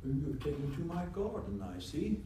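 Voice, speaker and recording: A man acting a weary, kindly sorcerer master, principled and gentle, in staged radio theatre. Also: a little high, sing-song, normal speed. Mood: probing. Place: indoor convention performance before microphones. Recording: echoey live room, distant mic, faint noise.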